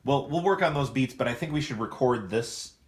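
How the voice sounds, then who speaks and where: A man's voice: medium pitch, a little fast. A man talking casually as he brainstorms a script, telling it animatedly and acting out bits of dialogue. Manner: animated storyteller, swears casually. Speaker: writer at an audio comedy script session. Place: indoor room, writing session. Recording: echoey room with faint noise.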